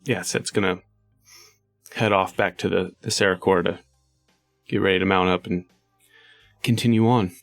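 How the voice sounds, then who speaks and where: A man speaks as a player in a tabletop role-playing game, narrating casually and thoughtfully with some halting phrasing. His voice is on the low side, recorded dry with faint music behind it. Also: fairly even intonation, no room sound. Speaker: player in a tabletop RPG podcast.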